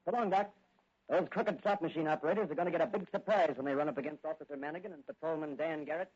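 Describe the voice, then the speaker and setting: Eager rookie cop, muffled old radio. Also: male voice, on the high side, fairly even intonation, fast talker, darkish tone, breathy edge. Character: eager, earnest young rookie patrolman. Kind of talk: 1940s radio drama acting. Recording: muffled, noisy 1940s radio recording.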